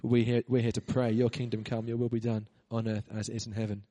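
A man's voice: mid-range voice, flat delivery, fast, warm tone. A man introducing the speaker and then leading an earnest spoken prayer in church. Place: church hall. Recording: reverberant church hall.

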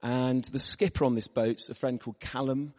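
A preacher with a hoarse, husky voice, giving a chatty sermon with personal stories to a church audience. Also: male voice, mid-range voice, steady tone, normal speed. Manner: chatty, storytelling, asks the audience. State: hoarse from losing his voice. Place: church hall. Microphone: microphone. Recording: reverberant church hall.

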